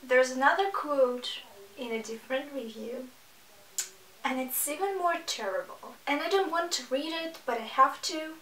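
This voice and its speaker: A woman's voice: high voice, moderate pace, a bit bright. A sarcastic, exasperated woman giving casual reaction commentary as she hosts a book review video. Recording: echoey room, distant mic.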